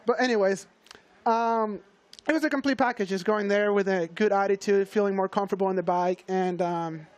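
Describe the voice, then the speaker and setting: A young man from Venezuela, a guest rider in a TV studio interview, talking at length in a relaxed, reflective way. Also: sits high, fairly quick, smooth, dry recording.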